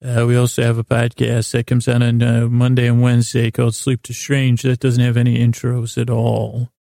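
Man, very creaky voice, mellow tone, podcast host, meandering bedtime monologue, halting, self-deprecating. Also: mid-range voice, completely flat delivery, no room sound, clean studio sound. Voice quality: creaky, gravelly. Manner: meandering, halting, self-deprecating, often losing his thread. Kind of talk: rambling sleep podcast monologue.

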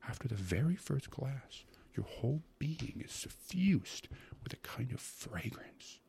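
A man voicing a fussy, gluttonous court secretary in a storybook reading, coaxing and savoring each word with a breathy, stammering delivery. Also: medium pitch, very animated, normal speed, mellow, pitched above the performer's own voice, exaggerated voice. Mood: dreamy, hushed. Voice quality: breathy, often dropping to a whisper. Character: fussy, gluttonous male court secretary, rapturous about food.

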